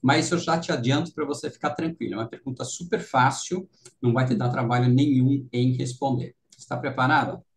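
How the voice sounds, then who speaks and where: A man with a Brazilian Portuguese accent hosting a casual interview, expressive and teasingly insistent. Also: mid-range voice, brisk. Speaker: interview host.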